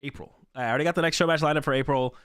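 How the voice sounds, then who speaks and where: A man's voice: fairly high pitch, racing, smooth, no echo, studio quality. A young man hosting a card game stream, chatting casually to his viewers while sick, his voice worn. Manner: relaxed, rambling, repeats himself.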